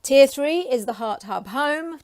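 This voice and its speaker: A woman in her forties from England talks casually to camera, chatty and enthusiastic with frequent ums, her voice getting hoarse. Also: sits high, animated, unhurried, dry recording. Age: 40s. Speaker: creative coach and vlogger.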